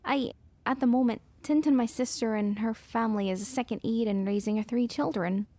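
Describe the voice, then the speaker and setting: A woman's voice: fairly high pitch, a little fast, lightly acted. An actress playing a demure, agreeable nineteen-year-old society lady in a period audio drama, with a proper, polite way of speaking. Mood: earnest. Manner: proper, polite, soft-mannered.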